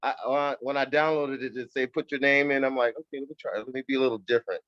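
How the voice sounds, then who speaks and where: A man's voice: medium pitch, fairly even intonation, fast talker, a bit bright. Laid-back man on muffled call audio. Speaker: real estate agent attending webinar. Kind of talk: casual chat. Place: video call.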